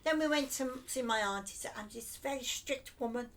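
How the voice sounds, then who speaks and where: An older woman born in England to Cypriot parents, telling lively anecdotes and acting out what people said. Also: on the high side, brisk. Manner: lively anecdotal storytelling with acted-out quotes. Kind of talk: reminiscing conversation.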